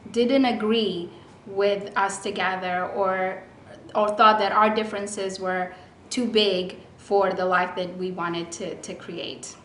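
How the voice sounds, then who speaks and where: A woman from Ethiopia, raised in Rome, sharing her story in a church testimony video in a quiet, reserved and gentle way. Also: medium pitch, a little hiss or hum. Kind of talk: personal testimony, interview-style. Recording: echoey room recording.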